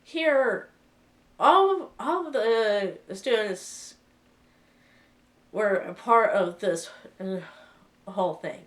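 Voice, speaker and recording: A woman's voice: medium pitch, animated, extremely slow, long pauses, distant mic. A woman with a cold giving a casual spoken review, hesitant, with many fillers and restarts. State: sick with a cold. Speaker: vlogger reviewing a film.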